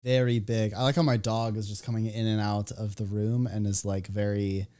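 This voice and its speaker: A man's voice: fairly low pitch, level tone, normal speed, mellow. A young Canadian man with a partly American accent, hosting a casual chat and laughing often. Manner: chatty, laughs often, restarts. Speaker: podcast host.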